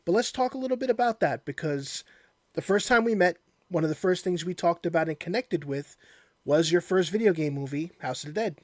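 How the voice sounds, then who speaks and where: A man's voice: fairly high pitch, animated, a little fast, darkish tone, no room sound, clean studio sound. A man hosting a casual interview, chatty and welcoming as he leads the conversation. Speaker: interview host.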